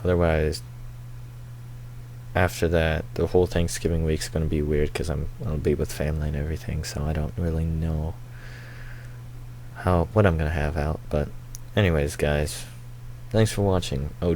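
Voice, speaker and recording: Deep-voiced young man, casual game commentary. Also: fairly even intonation, moderate pace, darkish tone, slight background noise. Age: young adult. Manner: informal, rambling. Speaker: gaming video host. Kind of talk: casual let's play commentary.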